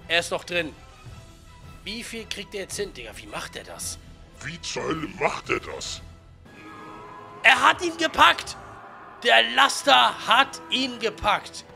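A man in his twenties with a cold, giving chatty, slangy, excited commentary on a video game over faint music. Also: high-pitched, unhurried, gravelly, pauses often. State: has a cold. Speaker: gaming YouTuber.

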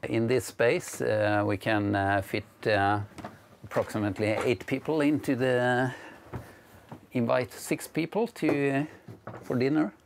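A Swedish man in his fifties giving a casual tour of his sailboat, speaking English with a Swedish accent and pausing often with "uh". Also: mid-range voice, steady tone, vocal fry, noisy background. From Sweden. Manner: hesitant, many "uh" fillers, friendly. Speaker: sailing vlogger giving a boat tour. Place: wooden cabin of a sailboat, indoors. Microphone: clip-on lapel mic.

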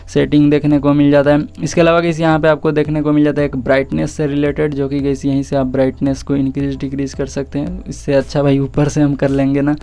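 A man's voice: a little high, dark tone. A man with an Indian accent doing a casual tech walkthrough voice-over, mixing in English words and addressing viewers directly. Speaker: tech YouTuber, host.

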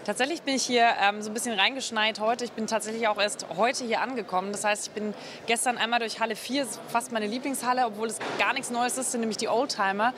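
A young woman journalist chatting candidly into a handheld mic in a convention lounge, relaxed and opinionated. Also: fairly high pitch, fast talker, noisy background. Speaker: journalist colleague as guest. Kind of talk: casual interview chat. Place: lounge area of a convention hall. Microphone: reporter's handheld microphone.

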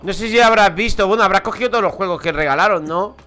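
A man's voice: high-pitched, quick pace, pushed and bright, soft background music. A man from Madrid with a Madrid Spanish accent, streaming a game and chatting casually, swearing and laughing at his own stories. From Madrid, Spain. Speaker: gaming streamer. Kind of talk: casual let's-play commentary. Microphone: headset.

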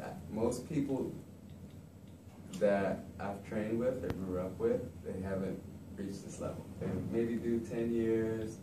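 Young man, lowish voice, laid-back, says "you know" a lot, distant mic, roomy echo, background noise. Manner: relaxed, conversational, frequent "you know". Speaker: capoeira teacher. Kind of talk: informal classroom talk. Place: large classroom, indoors. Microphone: far camera.

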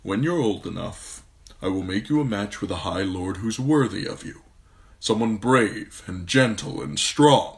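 A man voicing a gentle, grave noble father in an audiobook, calm and measured. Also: fairly low pitch. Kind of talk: audiobook character voice.

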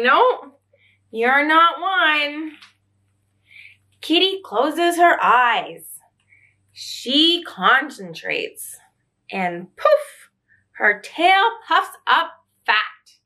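A young woman storyteller reading a picture book aloud to children, expressive and full of wondering questions. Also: high voice, slow. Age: young adult. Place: small indoor room.

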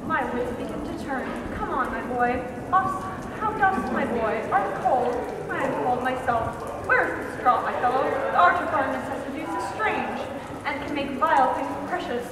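A young woman voicing a frail, cold, tender old male king, gentle and weary. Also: high voice, animated, normal speed. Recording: echoey room, far from mic, background noise.

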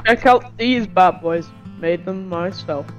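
A man playing a polite, folksy Wild West sheriff in an improvised game roleplay, speaking haltingly with many pauses. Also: high-pitched. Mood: friendly, casual. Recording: dull muffled audio with guitar music behind.

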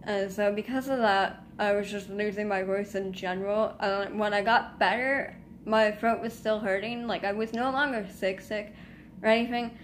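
A woman's voice: medium pitch, a little hiss or hum. Rambling teen girl venting to a phone. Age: teens. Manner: rambling, restarts and trailing asides. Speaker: vlogger. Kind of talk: casual venting monologue. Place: small indoor room. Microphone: phone camera at arm's length.

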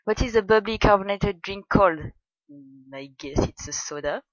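A woman's voice: mid-range voice, moderate pace, no echo. Shy young Frenchwoman with a French accent. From France. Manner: awkward, shy, laughing at herself. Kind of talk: casual voice meme, reading a word list. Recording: dry, dull lo-fi home mic.

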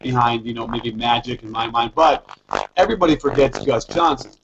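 A middle-aged man from Chicago hosting a casual interview over a video call, halting as he frames his questions. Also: mid-range voice. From Chicago area, United States. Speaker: host, interviewer, basketball coach. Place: living room at home, on a video call. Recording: noisy, muffled video-call audio with clicks.

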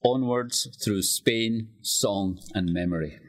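Male interview guest from Scotland, lowish voice, wry and self-deprecating, casual conversational delivery. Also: slow pace. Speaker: guest, writer and lecturer.

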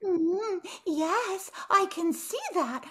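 A woman's voice: very high voice, animated, very slow, full character voice. An older woman playing a sweet, naive young granddaughter in a radio melodrama, with theatrical, wailing delivery. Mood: plaintive. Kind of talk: old-time radio melodrama acting. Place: at home on a group video call.

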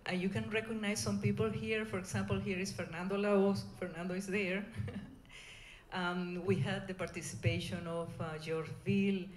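A middle-aged woman with a Venezuelan Spanish accent gives an informal conference talk, speaking in a hesitant, halting way. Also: medium pitch, little pitch movement. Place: indoor conference room. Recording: echoey room, clear background noise.

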